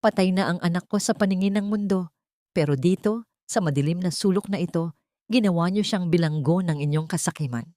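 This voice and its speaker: A woman's voice: on the low side, a little fast, dark tone, dry recording, studio quality, lightly acted. A woman voicing a dignified, grieving mother in a drama story, speaking with accusing intensity. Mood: bitter accusation. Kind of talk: acted story dialogue.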